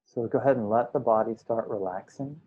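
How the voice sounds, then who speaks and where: A man guides a meditation as a teacher would, in a middle-pitched voice. He gives instructions slowly, in short phrases separated by pauses. The recording is dull and lo-fi. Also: husky. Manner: unhurried, pausing between short phrases.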